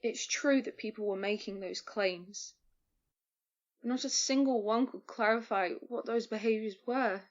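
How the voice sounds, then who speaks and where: An actress playing a shaken but firm medical worker burdened by guilt, in a naturalistic audio drama. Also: female voice, fairly high pitch, unhurried, muffled recording, lightly acted. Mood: firm, uneasy.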